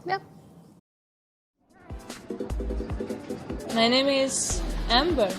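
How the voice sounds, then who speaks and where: Friendly young female dancer, polite game dialogue, highish voice, music behind. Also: expressive, a little slow. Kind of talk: live-action game dialogue.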